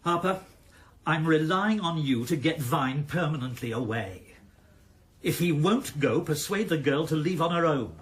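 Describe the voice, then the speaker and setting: A senior police chief in a radio play, fairly high in pitch. He is formal, earnest and worried, indignant about doing things properly. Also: expressive, measured pace. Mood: anxious, urgent. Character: principled, anxious, exasperated chief of police. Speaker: actor in radio drama. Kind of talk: 1990s radio drama acting.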